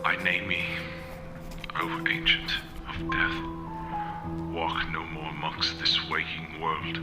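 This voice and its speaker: Ominous occult chanter, extremely low register, slow solemn delivery, breathy half-whisper, horror radio play, loud music. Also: male voice, level tone. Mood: ominous chanting. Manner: solemn, drawn-out incanting. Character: ominous man on a record chanting ancient banishing incantations. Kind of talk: horror audio drama, recorded message.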